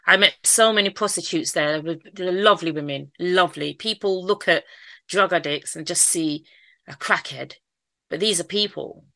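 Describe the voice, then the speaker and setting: Mancunian woman, lowish bright voice, candid storytelling, close dry sound. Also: normal speed, no echo. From Manchester, England. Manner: candid storytelling, frequent repeats, occasional laughs. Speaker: interviewee. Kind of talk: personal life story in conversation.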